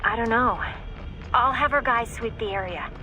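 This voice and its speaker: Actress as female game operator, worried, highish voice, music behind. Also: moderate pace, lightly acted, low-quality recording. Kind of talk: video game radio dialogue. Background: loud music.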